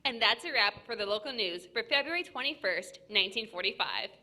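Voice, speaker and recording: A woman's voice: fairly high pitch, animated, brisk, pushed and bright. A woman playing a 1940s local radio news announcer, declaiming formally. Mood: bright, declamatory.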